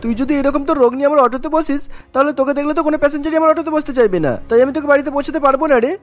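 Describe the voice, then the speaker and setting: Man voicing a very hurried, fretful cartoon driver. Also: extremely high pitch, soft background music. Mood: dismissive. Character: dismissive, worried townsfolk refusing to help. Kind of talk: cartoon story dubbing.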